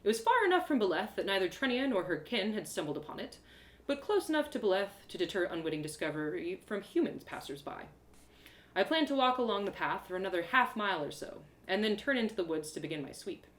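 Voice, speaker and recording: A woman voicing a plucky young girl adventurer who narrates her own journey in an expressive, colloquial storybook style. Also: mid-range voice, reverberant. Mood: determined. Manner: expressive, colloquial, with exclamations and dramatic pauses. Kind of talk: animated first-person storybook narration, live one-woman audio drama.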